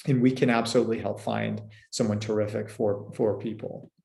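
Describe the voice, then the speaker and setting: A man's voice: fairly low pitch, level tone, a little fast, creaky, darkish tone. A man giving a presentation on the services of his senior care company, speaking earnestly with frequent restarts. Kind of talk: recorded presentation.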